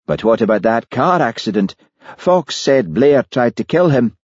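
A man's voice: mid-range voice, very animated, measured pace, a little mellow, no echo, voice pushed up. A man voicing Hamish, a worried policeman giving earnest warnings. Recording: dull lo-fi, dry.

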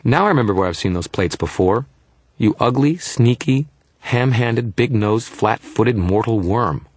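A tiny male fairy from a fantasy audiobook, pitched well above the narrator's natural voice. He sounds like a haughty, greedy little kid, indignant and grandiose, forever complaining. The recording is close and dry. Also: animated, unhurried, slightly rough, no room sound. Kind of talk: audiobook character voice.

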